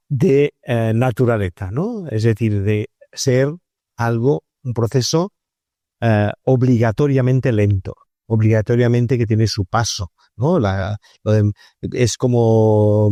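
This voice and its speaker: Mellow, unhurried male interviewer. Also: medium pitch, no echo. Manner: hesitant, restarts phrases, adds checking tags. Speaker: interviewer, podcast host. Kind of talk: conversational interview questions.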